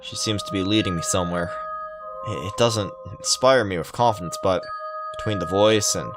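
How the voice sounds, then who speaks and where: A man with a fairly low voice hosts a game playthrough. He reads the story aloud and breaks into casual, rambling, halting commentary, with music clearly playing underneath. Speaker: gaming video host. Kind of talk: visual novel read-aloud with casual commentary.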